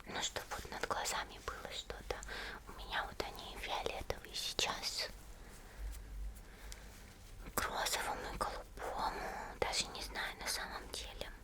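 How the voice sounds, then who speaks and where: A woman playing a friendly teenage monster girl in an ASMR roleplay, murmuring gently in a soft, breathy whisper. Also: natural voice. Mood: gentle, attentive. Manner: gentle, unhurried, murmuring to the listener. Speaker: ASMR video creator.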